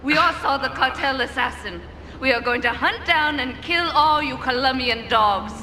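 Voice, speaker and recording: An actress playing a cruel, composed Yakuza boss in a video game, imperious and drily menacing. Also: female voice, high voice, normal speed, background noise, lightly acted. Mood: vengeful. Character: cold, sadistic Yakuza crime boss woman.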